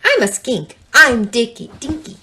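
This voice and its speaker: A woman's voice: fairly high pitch, big pitch swings, a little slow, sharp tone, pitched above the performer's own voice, full character voice. A woman voicing a small, earnest male skink, shy but indignant, exclaiming and protesting in a storybook tale. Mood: earnest, pleading.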